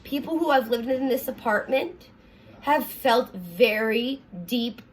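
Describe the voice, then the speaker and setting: Earnest raspy young woman vlogger. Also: a little high, slow, low-quality recording. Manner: earnest, rambling, many fillers and restarts. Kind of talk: personal confessional vlog. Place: her apartment.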